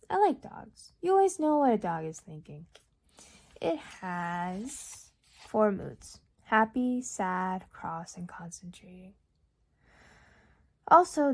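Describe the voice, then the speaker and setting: A young woman whose first language is Urdu, reading a novel aloud in a calm, measured way, dropping to near-whispers at times. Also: mid-range voice. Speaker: audiobook reader. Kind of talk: audiobook narration.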